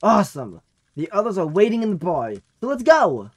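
A man's voice: high voice, big pitch swings, normal speed, no room sound. A man playing a boisterous, impatient big party-lover in a fantasy audio drama, exuberant and prone to grumbling. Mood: excited, jovial.

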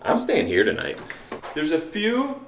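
A man's voice: on the high side, huge pitch swings, a little slow, roomy sound, slight background noise. A man in his thirties giving a casual, joking walkthrough vlog of an old stone house, recorded on a camera mic. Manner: upbeat, joking, wry. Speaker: vlogger.

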